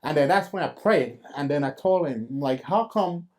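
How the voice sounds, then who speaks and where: A man, hosting and giving his own faith testimony in an earnest, halting storytelling manner with fillers and restarts. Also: fairly high pitch, expressive, a little mellow. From Cameroon. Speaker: host.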